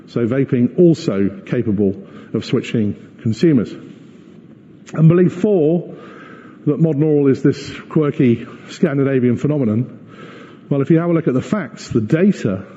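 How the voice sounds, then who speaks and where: A man giving a corporate presentation as a company executive, explaining and arguing his points in a measured way. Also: mid-range voice, lively intonation, warm tone. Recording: muffled echoey hall recording.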